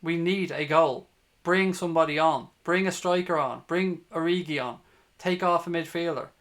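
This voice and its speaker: Higher-voiced Dublin football fan, male. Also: moderate pace, fairly bright tone. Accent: Dublin Irish. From Ireland, from Dublin. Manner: blunt, sweary, opinionated. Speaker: livestream host and fan commentator. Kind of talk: live football watch-along commentary, chatting with viewers.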